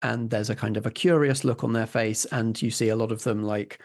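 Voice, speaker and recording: A man's voice: on the low side, fairly even intonation, brisk. A man running a tabletop roleplaying game, improvising scene narration and explaining the rules. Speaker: game master.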